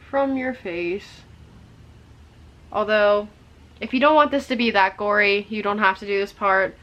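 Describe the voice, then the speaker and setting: A young woman giving a chatty, rambling makeup tutorial, her voice a little hoarse from a cold. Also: medium pitch, fairly bright tone, muffled recording. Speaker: makeup vlogger.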